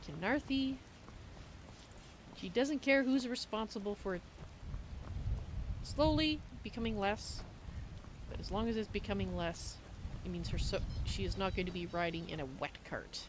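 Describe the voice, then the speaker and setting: Woman acting out a female feline adventurer, warm-hearted, third-person speech, middle-pitched, fantasy game roleplay, faint rain behind. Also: moderate pace, pauses often, noisy background, close to an everyday voice. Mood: cheerful. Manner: refers to self in third person. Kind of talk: improvised video game roleplay. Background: game rain ambience.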